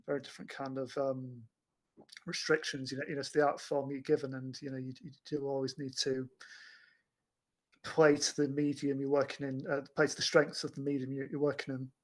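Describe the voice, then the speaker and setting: A man's voice: medium pitch, level tone, fairly quick. A man discussing films casually as a panelist, hesitant and often repeating words as he thinks. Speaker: podcast panelist.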